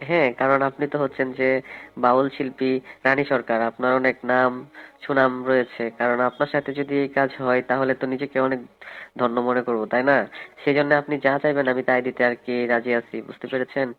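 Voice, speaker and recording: A man's voice: mid-range voice, level tone, fast, clear, resonant tone, lightly acted. A man playing a wealthy, politely insistent client in a staged phone call, talking in a hesitant, roundabout, persuasive way. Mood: eager, coaxing. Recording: tinny phone line with music behind.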